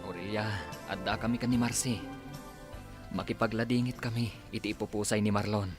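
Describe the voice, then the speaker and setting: A man's voice: lowish voice, fairly even intonation, fairly quick, faint music behind, close to an everyday voice. A man playing a plain-spoken, concerned village husband in a radio drama, talking in a conversational, matter-of-fact way. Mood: somber, sympathetic.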